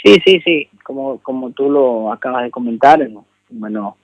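A Venezuelan man in his thirties answers a radio interview politely, with halting repetitions. His voice is rough and creaky. The sound is dry and dull, like a call into the show. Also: mid-range voice, dry recording. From Maracaibo, Venezuela. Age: 30s. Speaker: jockey, interview guest.

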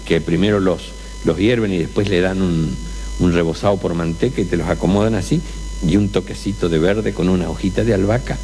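A man's voice: deep voice, fairly quick, dark tone, slight background noise. A man with an Argentine accent, telling a humorous anecdote in a chatty, colloquial way. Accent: Argentine Spanish. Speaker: storyteller.

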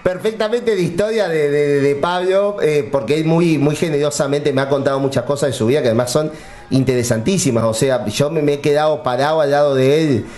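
A man's voice: on the high side, a little fast, a little mellow. A man hosting a radio interview, chatty and laughing easily as he asks questions. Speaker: interviewer, host.

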